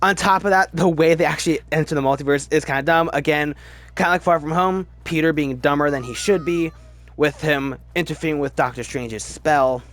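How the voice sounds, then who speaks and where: A man's voice: on the high side, a little fast, a bit bright. A man giving casual, opinionated commentary as host of a film ranking video, with gushing fan enthusiasm and repeated words for emphasis.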